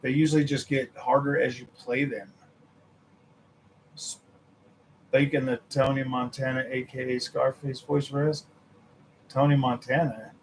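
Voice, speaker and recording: A young man hosting a gaming channel, chatting casually with his viewers, animated and laughing, his voice a little worn from nearly losing it. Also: unhurried, long pauses, a little hiss or hum, rough recording. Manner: animated, laughing, mimics others in a high voice. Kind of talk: casual livestream chat with viewers.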